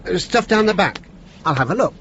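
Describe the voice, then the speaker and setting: An actor playing a polite, hesitant but shrewd British chauffeur in a radio play. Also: male voice, high voice, expressive, fairly quick. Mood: quietly shrewd. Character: deferential but shrewd working man, an elderly lady's chauffeur. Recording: dull radio recording, faint noise.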